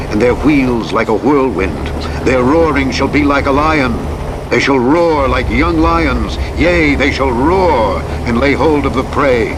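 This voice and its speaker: A man reading scripture as a narrator in a solemn, measured, declamatory manner. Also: medium pitch. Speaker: audiobook Bible narrator. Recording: engine and road noise over playback. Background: truck simulator vehicle noise.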